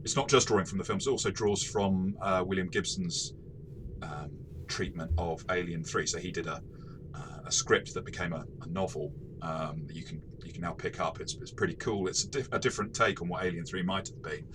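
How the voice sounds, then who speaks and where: A man co-hosting a casual game review discussion, thoughtful and halting, often rephrasing mid-sentence. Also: low-pitched, noisy background. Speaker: co-host of a game review show.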